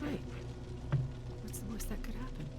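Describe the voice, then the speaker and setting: A woman's voice: on the high side, expressive, moderate pace, dark tone, voice pushed up. A woman playing a defiant, wary female TV host, challenging and guarded, in a dramatic game story reading. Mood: reluctant. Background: music.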